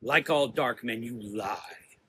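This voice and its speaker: A man's voice: medium pitch, expressive, takes it slowly, bright, forceful tone, a little hiss or hum. A man voicing a stern, defensive mother superior in a gothic audio drama, indignant and imperious. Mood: scornful.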